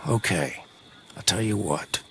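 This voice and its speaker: A man's voice: sits low, a little slow, vocal fry, fairly bright tone, a little hiss or hum, heavily acted. An actor playing a cagey, distrustful old man, a small-time crook in a video game, wry and guarded. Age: older.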